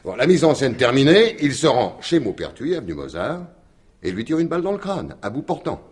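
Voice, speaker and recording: Shrewd detective, husky, very animated intonation, emphatic, theatrical, 1950s-style French radio mystery. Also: male voice, mid-range voice. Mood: methodical, grim. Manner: emphatic, theatrical, deliberate explaining with repeated insistence. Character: shrewd, insistent male detective laying out his theory.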